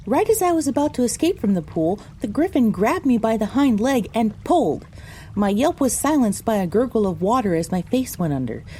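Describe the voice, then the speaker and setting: Woman voicing a bright-less, higher-pitched pony storyteller. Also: very animated, a little fast, a little hiss or hum. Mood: dramatic recounting. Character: frightened young female pony recounting her misadventure. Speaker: narrator. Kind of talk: first-person audio drama narration.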